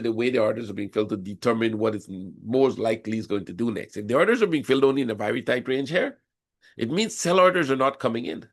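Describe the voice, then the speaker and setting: A man with a cold and a hoarse voice, explaining chart patterns step by step during a live trading session. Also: mid-range voice. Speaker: forex trading instructor. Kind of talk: live trading room commentary.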